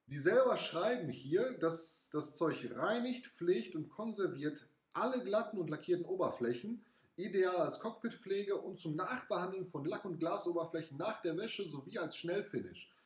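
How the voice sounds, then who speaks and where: A young man hosting a casual product review in his home garage, relaxed and chatty with frequent fillers. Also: high voice, moderate pace, husky. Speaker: car care channel host. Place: small home garage, indoors.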